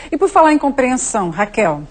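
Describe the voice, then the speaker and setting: Female talk show host with a slightly high voice, prompts guests and sums them up. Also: sing-song, a little fast, a little mellow. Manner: asks questions, sums up guests' answers. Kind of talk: TV studio interview.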